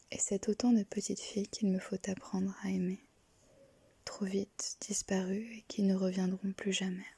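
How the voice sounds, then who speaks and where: A woman reads a poem almost entirely in a breathy whisper, her voice dark and mellow. She keeps her delivery slow and even, with little rise and fall. The recording is close and dry, with no room sound. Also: mid-range voice, no echo. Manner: slow, hushed and intimate. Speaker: poetry reader. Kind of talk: whispered poetry reading.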